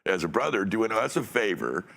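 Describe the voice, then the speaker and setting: A middle-aged man hosting a podcast from a dark studio set, talking on a studio mic in a rambling, profane way and laughing often. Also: lowish voice, very animated, moderate pace, creaky, rough recording. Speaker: podcast host. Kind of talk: casual chat. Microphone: studio mic on a stand.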